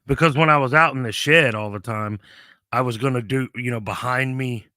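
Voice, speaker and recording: A man's voice: medium pitch, fairly quick, bright, forceful tone, dry recording, clean studio sound. A man hosting a casual livestream, losing his voice, laid-back and laughing often. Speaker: livestream host. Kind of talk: casual chat.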